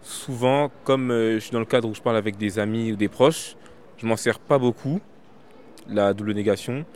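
A man's voice: on the low side, moderate pace, a little mellow, a little hiss or hum. A man reading out questions and answering them as an interviewee, in a casual, hesitant way.

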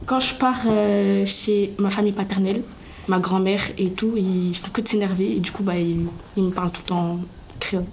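A woman's voice: medium pitch, fairly even intonation, normal speed, a little mellow, low-quality recording. Woman talking casually about herself, mid voice, bit of noise around her. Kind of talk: casual personal testimony. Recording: noticeable background noise.

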